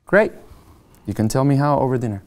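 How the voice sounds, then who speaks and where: A man playing an eager, cocky but jittery rookie realtor in a screenplay table read, speaking conversationally and a bit haltingly. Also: mid-range voice, lively intonation, close to an everyday voice. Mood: flirty, cocky.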